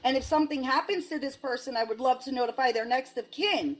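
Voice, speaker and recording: A woman's voice: high voice, quick pace. A woman on a phone call making complaints in an insistent, argumentative way. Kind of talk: phone conversation.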